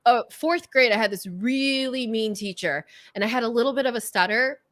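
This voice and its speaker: Fast-talking Californian woman, dry sound. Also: on the high side, fairly bright tone, no echo. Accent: Californian Valley. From Southern California. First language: Korean. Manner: talks fast when passionate, stumbles over words. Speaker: guest coach. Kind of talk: energetic interview chat.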